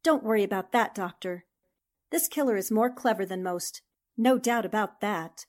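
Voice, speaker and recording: An actress playing a brave, sharp young newspaper reporter in a 1940s-style radio mystery, speaking in an earnest, theatrical way. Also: female voice, mid-range voice, normal speed, natural voice. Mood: earnest, determined.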